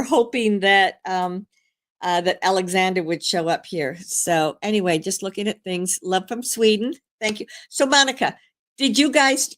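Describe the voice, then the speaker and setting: Hoarse older woman chatting live. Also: mid-range voice, a bit bright. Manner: rambling, chatty, many fillers and restarts. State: hoarse from a cold. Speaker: channeler hosting a live stream; grandmother. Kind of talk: casual live-stream chat.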